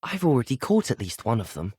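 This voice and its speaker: A man's voice: mid-range voice, huge pitch swings, brisk, darkish tone, breathy edge, dry recording, clean studio sound. A young man playing a polite, inquisitive teenage student wizard in a video game, speaking in formal, well-mannered phrases. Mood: polite, curious. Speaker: video game player character. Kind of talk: scripted video game voice lines.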